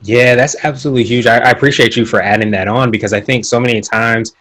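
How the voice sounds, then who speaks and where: A man's voice: mid-range voice, fairly even intonation, fast talker, a bit bright, dry recording. A man hosting a podcast interview, upbeat and enthusiastic as he introduces and questions his guest. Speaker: podcast host.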